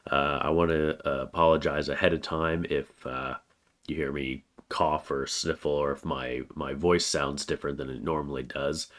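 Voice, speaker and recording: A man with an extremely low, deep voice talks through a weekly course overview like a college writing teacher. He has a cold, so his voice sounds a little congested. His delivery is plain and steady, with frequent "uh" fillers between phrases. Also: moderate pace. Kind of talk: recorded course overview lecture.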